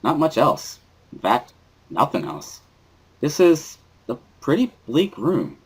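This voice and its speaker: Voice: medium pitch, very animated, takes it slowly, breathy edge, voice pushed up, heavily acted. A reader playing a wary teenage schoolboy who narrates his own story in a dry, mildly sardonic way, as a visual novel dramatic reading. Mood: dry, observant.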